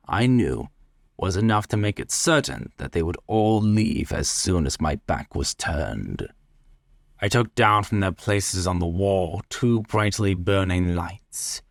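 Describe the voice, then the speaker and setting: A man narrating a literary tale in the first person, deliberate, theatrical and brooding. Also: lowish voice, gravelly, no room sound, clean studio sound. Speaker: story narrator.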